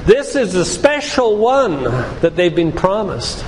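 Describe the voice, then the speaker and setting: A man's voice: sits high, big pitch swings, slow. Man preaching in an echoey hall. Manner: emphatic, preacherly, with rhetorical questions. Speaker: physician giving a faith-based lecture. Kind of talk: sermon-like church lecture. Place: church, speaking to a congregation. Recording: echoey church hall with background noise.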